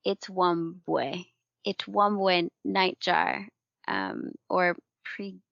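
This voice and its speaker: A woman who grew up in the Philippines, co-hosting a casual news podcast, chatty and quick to laugh, stumbling over hard names. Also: lowish voice, very animated, vocal fry, fairly bright tone, no echo. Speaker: podcast co-host. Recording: dull lo-fi dry recording.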